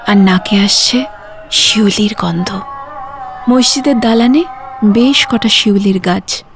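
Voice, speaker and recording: A woman's voice: a little high, natural voice. A woman playing a weary, devoted daughter who cares for her bedridden father, confiding and emotional in an intimate audio drama monologue. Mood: wistful.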